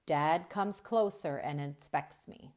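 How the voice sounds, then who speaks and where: A woman reading a children's book aloud as a storyteller, expressive and deliberate, drawing out key words. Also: low-pitched. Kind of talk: expressive read-aloud narration.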